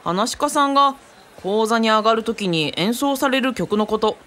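A bright, forward voice from an actor playing an easygoing young companion in a radio drama. The character explains things in a casual, kindly way over faint background ambience. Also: mid-range voice, animated, normal speed, slight background noise. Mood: impressed, explanatory.

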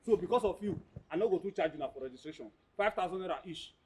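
An actor with a Nigerian accent playing a brash, mocking, scheming gatekeeper in a comic drama, loud and blustering. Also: male voice, high-pitched, expressive, racing, a little hiss or hum. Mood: sly, bargaining. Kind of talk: comic screen drama acting in Nigerian Pidgin.

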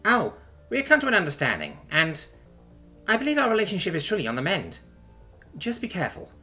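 A man putting on a chirpy, high-pitched alien princess. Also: wildly swooping pitch, fairly quick, bright, forceful tone, soft background music, full character voice. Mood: hopeful, polite. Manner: polite, earnest, formal wording. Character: small, sweet, nervous alien princess, polite and devoted.

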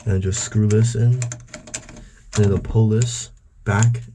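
Low-voiced man giving hands-on instructions, noisy recording. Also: fairly even intonation, slow pace. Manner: plain instructional, talking while working with his hands. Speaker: host of a DIY boat how-to video. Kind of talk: casual step-by-step tutorial narration. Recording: clearly noisy on-location recording.

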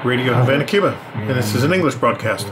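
A man's voice: medium pitch, expressive, quick pace, music in the background, low-quality recording. A middle-aged man giving a casual demonstration commentary as a ham radio operator. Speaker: amateur radio operator, video presenter. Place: small indoor room. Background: shortwave radio audio.

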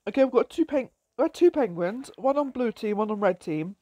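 A woman casually commenting on her own gameplay with a croaky voice, giggling and exclaiming often. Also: on the high side, brisk, no room sound. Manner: giggly, excitable, frequent laughs and exclamations.

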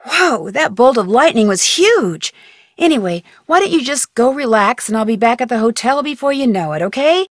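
A woman's voice: on the high side, expressive, no room sound. A plucky girl detective. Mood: wry. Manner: brisk, self-talking, wry. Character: young woman detective, curious and plucky. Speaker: game protagonist. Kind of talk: video game voice acting. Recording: dry studio voice-over.

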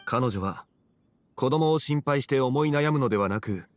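Composed, formal young gentleman played by a man. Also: mid-range voice, expressive, dry recording, natural voice. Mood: thoughtful, reassuring. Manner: formal, measured, archaic phrasing. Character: composed, wise, courteous young-looking gentleman. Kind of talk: anime game voice acting.

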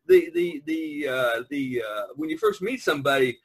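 Middle-aged man, podcast host, husky, halting, rambling, repetitive, casual online chat. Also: fairly high pitch. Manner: rambling, halting, repeats himself. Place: online video conference call.

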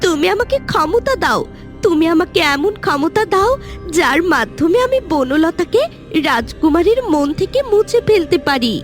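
High-pitched scheming jealous sister. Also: female voice, big pitch swings, moderate pace, faint music behind. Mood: vengeful. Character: scheming, jealous young sister plotting revenge, feigning sweetness. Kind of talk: Bengali fairy-tale cartoon acting.

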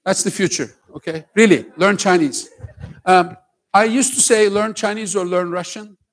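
An older man from Turkey with a slight Turkish accent, lecturing students on stage in a conversational way, often checking in with "okay?" and joking with the audience. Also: a little high. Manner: conversational, keeps asking "okay?", jokes with the crowd. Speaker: professor giving a talk to students. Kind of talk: lively lecture to a school audience. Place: large auditorium stage.

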